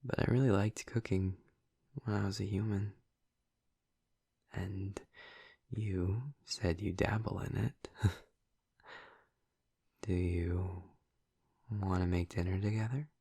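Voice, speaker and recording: Man playing a breathy, slow-talking gentle vampire. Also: sits low, steady tone, no echo, studio quality, exaggerated voice. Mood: warm, inviting. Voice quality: breathy, gravelly murmur. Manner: soft, hesitant, halting, reassuring. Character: gentle, flirty male vampire, tender and earnest. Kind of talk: intimate audio roleplay addressed to the listener.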